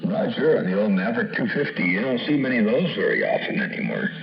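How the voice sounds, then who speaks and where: A man making casual CB radio chat in a folksy, halting way, full of operator slang and sign-offs. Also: fairly low pitch, huge pitch swings, vocal fry, far from the mic, dull audio. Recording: roomy, band-limited CB radio audio, loud music.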